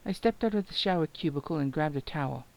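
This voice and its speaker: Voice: mid-range voice, animated, quick pace, vocal fry. A narrator reading a novel aloud in the first person, dramatically and expressively, acting out the emotion.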